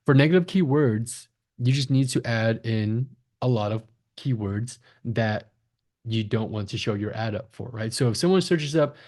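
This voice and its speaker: A man's voice: medium pitch, normal speed, darkish tone. A man giving a conversational step-by-step screen-share tutorial as an instructor, often checking in with short tags. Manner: conversational, frequent check-in tags like "right?" and "okay?". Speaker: online marketing instructor, agency founder.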